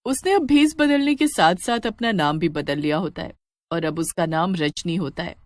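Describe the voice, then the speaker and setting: A woman giving a scripted, expressive narration of a cartoon horror story. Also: lowish voice, moderate pace, dry recording, clean studio sound.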